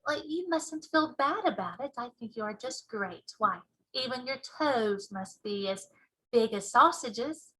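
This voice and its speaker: A woman's voice: on the high side, expressive, a little slow, pushed and bright, husky, heavily acted, rough recording. A woman voicing a curious, polite young girl in a children's storybook, earnest and easily horrified. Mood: polite, wondering. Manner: earnest questioning. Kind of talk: children's storybook read-aloud.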